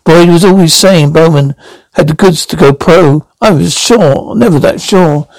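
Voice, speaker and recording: A man's voice: on the high side, unhurried, a little mellow, no room sound, poor audio. A man retelling a story and reading its dialogue, stumbling with many restarts.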